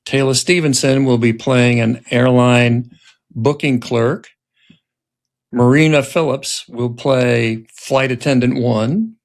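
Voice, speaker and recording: A man presenting a podcast in a scripted way and narrating a dramatic script reading as its writer-director. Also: mid-range voice.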